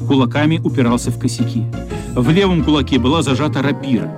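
A man narrates a radio play in a dramatic, scripted style. His voice is mid-pitched, and music plays clearly behind it. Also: fast talker.

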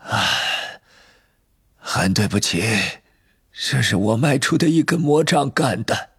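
A man voicing an eerie, wistful old wandmaker in a storybook, soft and breathy, with drawn-out musing phrases. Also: medium pitch, lively intonation, no room sound, studio quality, voice pushed up, exaggerated voice. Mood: regretful, hushed.

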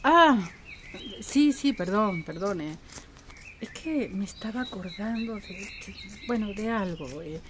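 Flustered village woman, medium pitch, excitable, faint birds behind, radio soap. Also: takes it slowly, slight background noise. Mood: distracted. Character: flustered, practical, easily irritated village woman. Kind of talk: radio soap opera acting. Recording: faint birds and rural ambience.

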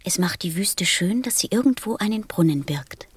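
Soft, earnest little boy prince played by a woman. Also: on the low side, lively intonation, moderate pace, slightly rough, no echo. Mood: quiet, earnest. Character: small boy prince, innocent, wise and earnest. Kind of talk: radio play acting.

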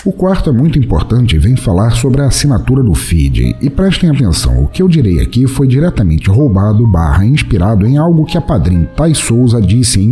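A man's voice: medium pitch, warm tone. A Brazilian man hosting a podcast, reading scripted announcements in a playful, mock-formal way over faint music. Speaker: podcast host.

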